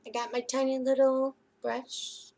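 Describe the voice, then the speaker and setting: A woman hosting a children's story time, reading and explaining crafts in an expressive, sing-song manner for young listeners. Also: high-pitched, unhurried. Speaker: library story time host. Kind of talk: children's picture book reading and craft demonstration.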